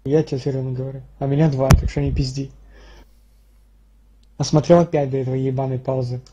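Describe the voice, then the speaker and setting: A man's voice: mid-range voice, flat delivery, a little fast, lo-fi sound, noisy background. A young man chatting casually over gaming voice chat, blunt and swearing heavily. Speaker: team player in online shooter match. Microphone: gaming voice chat microphone.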